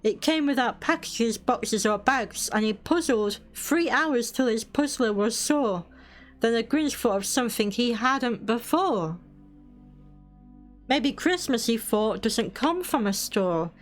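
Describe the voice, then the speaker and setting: A British woman with a fairly high voice reads a children's bedtime story at an unhurried pace. She is a streamer with a cheeky, playful way of commenting as she goes. Soft music plays behind her. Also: expressive. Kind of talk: bedtime story reading with casual asides.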